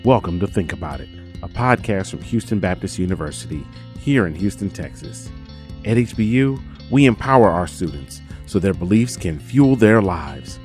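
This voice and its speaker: A male announcer with a fairly low voice reads a polished script to open a podcast. Faint plucked guitar plays behind him. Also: expressive, moderate pace. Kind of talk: scripted promotional intro. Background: soft plucked guitar music.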